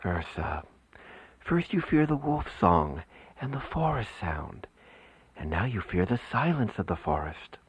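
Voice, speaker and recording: A man's voice: low-pitched, very animated, unhurried, slight background noise. An actor playing a protective, sceptical male villager in a radio horror play, speaking in formal, stagey phrases. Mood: dismissive, calm. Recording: muffled lo-fi radio play, music underneath. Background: music.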